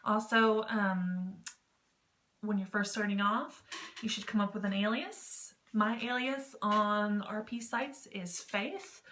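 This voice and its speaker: A woman's voice: mid-range voice, slow, fairly bright tone. A woman vlogger giving informal advice in a rambling, slightly self-conscious way. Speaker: YouTube vlogger. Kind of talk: casual advice vlog on online text roleplay.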